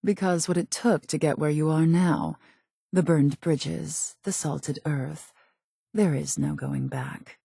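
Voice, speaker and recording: A cold, calculating female raider leader for a video game. Her voice is low and mellow with a heavy fry, recorded very dry. She speaks formally and with measured composure, every line carrying quiet menace. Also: fairly even intonation, no room sound, full character voice. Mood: cool, measured. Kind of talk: video game character voice acting.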